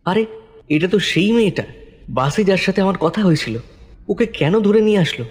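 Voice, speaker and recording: A man's voice: high voice, expressive, normal speed, slightly rough. A man narrating a scripted first-person horror story in a dramatic style. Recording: loud music under voice.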